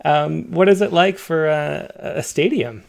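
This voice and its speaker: A man's voice: on the high side, a little slow, gravelly. A man interviewing a poet, asking hesitant questions with many fillers and restarts. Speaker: interviewer host.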